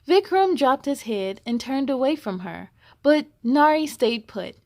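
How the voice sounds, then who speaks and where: A woman with a high voice hosts a children's storytime. She takes her time, speaking slowly and expressively. Her manner is encouraging and aimed at young listeners. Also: animated, darkish tone. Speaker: host reading a picture book aloud. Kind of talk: children's read-aloud storytelling.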